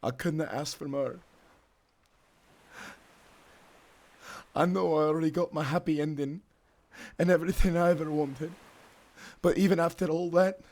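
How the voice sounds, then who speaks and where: An actor playing a tender, grieving old sailor with a Scottish accent in an audio drama. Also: male voice, on the high side, steady tone, a little fast, darkish tone, lightly acted. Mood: tearful, tender.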